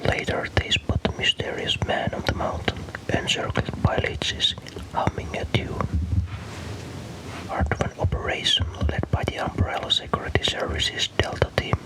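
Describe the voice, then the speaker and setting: A man from Finland softly whispers a scripted story in an ASMR style, breathy and unvoiced, with slow, deliberate delivery. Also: rough recording.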